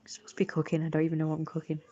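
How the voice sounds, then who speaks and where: A woman's voice: low voice, completely flat delivery, rapid-fire, mellow, dull audio. A woman chatting casually on a livestream as she draws, rambling and self-critical. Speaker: streamer and artist drawing. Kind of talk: casual livestream chat while drawing.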